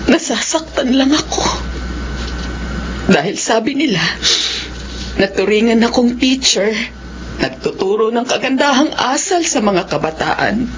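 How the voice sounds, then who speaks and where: An actress playing a gentle, dignified middle-aged teacher and mother in a Filipino radio drama. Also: on the high side. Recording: dull radio recording, clearly noisy.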